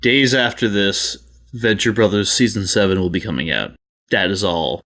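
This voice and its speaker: A man's voice: on the low side, moderate pace, sharp tone. A man co-hosting a casual panel podcast, talking with profane, emphatic enthusiasm. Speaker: podcast co-host.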